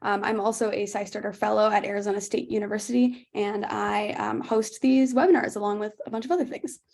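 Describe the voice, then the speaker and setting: A woman's voice: medium pitch, steady tone, a little fast, creaky, darkish tone. A young woman hosting a video-call webinar in a chatty way, with frequent fillers and laughs. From United States. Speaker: webinar host.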